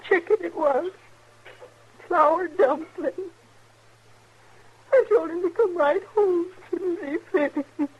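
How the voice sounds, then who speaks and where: A woman playing a proud, devoted American frontier mother in 1950s radio western style, dramatic and halting. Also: extremely high pitch. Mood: shocked, grieving. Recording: muffled old radio recording, faint noise.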